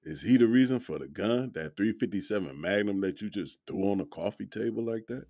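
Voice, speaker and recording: An actor playing a laid-back, protective young man in an audio drama, talking in slang with drawn-out, incredulous repeats. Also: lowish voice, lively intonation, dark tone, no echo, clean studio sound.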